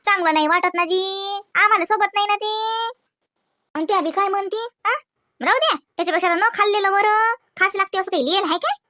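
Woman playing a gossipy daughter-in-law, Vidarbha Marathi accent, very high bright voice, hesitant cartoon chatter. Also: a little fast, dry recording, studio quality. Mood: disapproving. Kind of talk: cartoon comedy dialogue.

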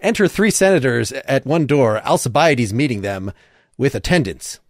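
Guy host reading out stage directions, casual asides, animated pitch, dry close sound. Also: normal speed, dry recording.

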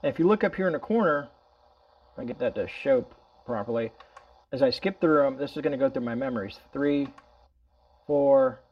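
A man's voice: mid-range voice, moderate pace, pauses often, dull audio. A man hosting a casual product demonstration indoors at home, explaining things in a rambling, folksy way. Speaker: host of a product review video.

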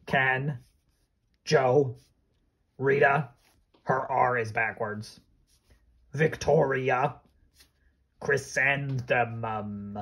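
Performer as playful pirate pal for storybook read-along: husky, slow, curious, simple remarks. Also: medium pitch, fairly bright tone, full character voice, rough recording. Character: playful, childlike pirate companion, curious and loud. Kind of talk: casual read-along chat.

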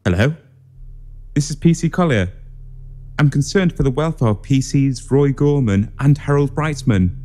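A man's voice: medium pitch, animated, moderate pace, dark tone, natural voice. A man playing a concerned, formal police constable on a call in an audio drama.

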